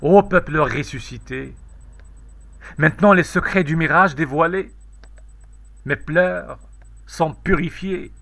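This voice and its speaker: A man reciting poetry in a solemn, declamatory way, in measured phrases with pauses between them. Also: a little high, creaky, a bit bright. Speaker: poetry reader.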